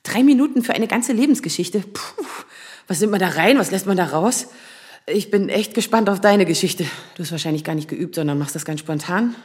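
An actress playing a nervous, self-doubting lawyer in her thirties in a naturalistic radio drama, speaking in a halting, rambling way. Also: medium pitch, lively intonation, a little mellow, natural voice. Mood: flustered, nervous. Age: 30s. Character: nervous, self-doubting 35-year-old asylum lawyer, single woman.

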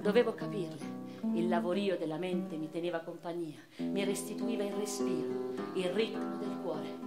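An actress playing a passionate, anguished noblewoman turned revolutionary, recalling her past in a theatrical radio monologue that moves between hushed and impassioned. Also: on the low side, normal speed, natural voice. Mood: low, resigned. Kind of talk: dramatic first-person radio theatre narration. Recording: roomy echo with music underneath.

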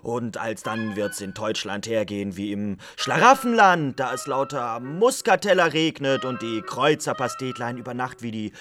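An actor playing a teasing rogue who humours a mad god with mock reverence in a literary radio drama. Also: male voice, medium pitch, normal speed, hardly any pauses, fairly bright tone, soft background music, close to an everyday voice. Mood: mocking, gleeful. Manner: wry, teasing, mock-deferential. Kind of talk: theatrical literary radio drama in archaic German.

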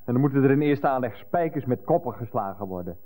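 An actor playing an irritable, evasive and jealous Dutch lover with a Dutch accent, blustering self-importantly in a radio play. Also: male voice, mid-range voice, lively intonation, quick pace, dark tone, long reverb tail, close to an everyday voice. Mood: defensive, pompous.

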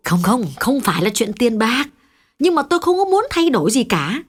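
A man voicing a prosperous, anxious middle-aged woman shop owner in a chatty, hesitant falsetto. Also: very animated, normal speed, husky, exaggerated voice. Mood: refusing.

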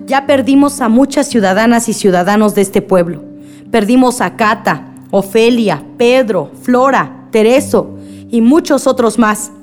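Radio drama: actress as earnest village woman addressing her assembly, measured and solemn, fairly high voice, music underneath. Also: close to an everyday voice. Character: Alicia, grieving village woman, earnest community peacemaker.

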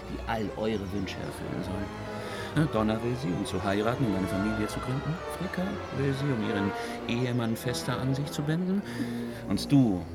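An actor playing a sly, evasive trickster god in a fantasy radio drama, with a wily, teasing, theatrical delivery. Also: male voice, on the low side, creaky.

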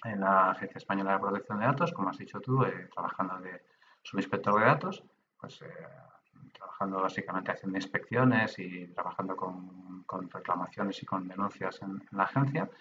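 Middle-aged man, low bright voice, patient explainer who restarts often, slightly muffled lo-fi remote audio. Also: a little fast, a little hiss or hum. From Basque Country, Spain. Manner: explanatory, with many restarts and hesitations. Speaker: guest, data protection official. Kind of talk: conversational podcast interview. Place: remote from his own room.

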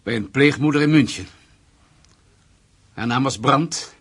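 A man acting in a radio play as an anxious captive ex-Nazi, guarded and deliberate as he pleads and confesses. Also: medium pitch, fairly bright tone, breathy edge. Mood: grave confession.

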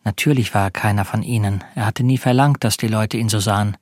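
An actor narrating a radio play crime story in a measured, sombre, literary manner. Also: male voice, fairly low pitch, fairly even intonation, no echo, clean studio sound, lightly acted. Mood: sombre, reflective.

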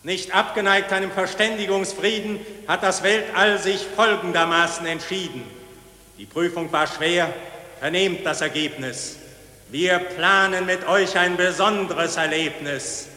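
An actor playing a solemn, merciless cosmic voice that passes judgment on mankind, declaiming rhymed verse in a radio drama. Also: male voice, high-pitched, fairly even intonation, bright, forceful tone. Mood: stern, solemn. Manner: declamatory, proclaiming in measured rhyme. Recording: echoey hall with long reverb.

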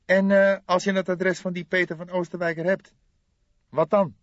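A man acts out a young police detective from a crime audiobook. The voice is pushed up high and sounds weary and questioning, a slightly exasperated junior partner. The recording is dry and somewhat dull. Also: flat delivery, moderate pace, no room sound, close to an everyday voice. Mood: tense, questioning. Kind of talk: audiobook character dialogue.

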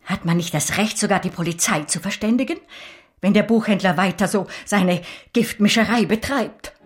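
An actress plays a disapproving older woman in a radio drama. Her voice sits at a middle pitch. She is blunt and moralising, a stern mother-in-law who lays down the law. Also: normal speed, natural voice. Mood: indignant.

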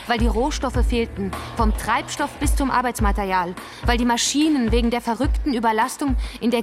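A woman's voice: on the high side, close to an everyday voice. Actress as fired-up revolutionary woman in a radio play, lecturing and scornful, faint music under her. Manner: argumentative, didactic, scornful. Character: fervent, combative revolutionary woman defending the workers' councils. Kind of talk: German radio drama dialogue, polemical debate.

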